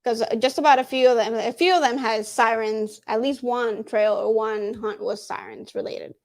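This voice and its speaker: A woman's voice: fairly high pitch, fairly even intonation, normal speed. A woman co-hosting a casual livestream chat, often echoing and finishing her partner's phrases. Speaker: co-host.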